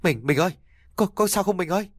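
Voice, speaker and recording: A man voicing a desperate aging husband who pleads, shouts and sobs over his dying wife. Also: fairly low pitch, animated, normal speed, no room sound, clean studio sound. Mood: frantic pleading. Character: desperate aging husband, grief-stricken and volatile.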